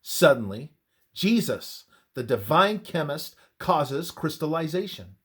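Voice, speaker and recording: Slow, expressive male devotional narrator. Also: a little high, slightly rough. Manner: measured, deliberate, with emphatic phrasing and pauses. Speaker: reader of a religious devotional text. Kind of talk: scripted narration, devotional reading.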